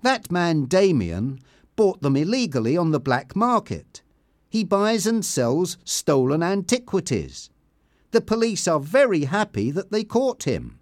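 Man playing young man, storybook character, direct, friendly, higher pitch, animated. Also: unhurried, no room sound, studio quality. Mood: impressed.

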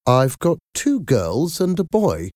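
A man's voice: on the high side, wildly swooping pitch, slow, darkish tone, dry recording, studio quality, voice pushed up, heavily acted. A man voicing an anxious, easily exasperated mother with an earnest manner in a story reading. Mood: nervous.

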